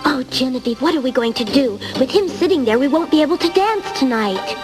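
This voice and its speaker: A woman playing an anxious young princess in an animated fairy tale, fretful and conspiratorial. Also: sits high, a little fast. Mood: worried. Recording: music behind.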